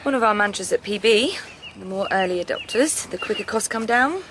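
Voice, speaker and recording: An actress playing an eager, confident young farmer's daughter in a radio drama, breezy and persuasive. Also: female voice, medium pitch, animated, a little fast, noisy background, close to an everyday voice. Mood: breezy, defensive. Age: young adult. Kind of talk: naturalistic radio drama acting.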